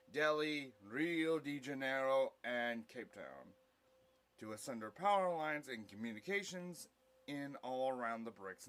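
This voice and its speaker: A man reading scripted narration for an audio play in a halting, word-by-word way. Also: medium pitch, a bit bright.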